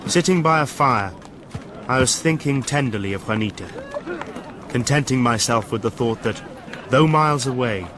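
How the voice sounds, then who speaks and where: A man's voice: mid-range voice, normal speed, pauses often, close to an everyday voice. A man acting a stoic, seasoned British army officer narrating his war memories in a radio drama. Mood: sober, reflective. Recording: clear outdoor battlefield noise behind.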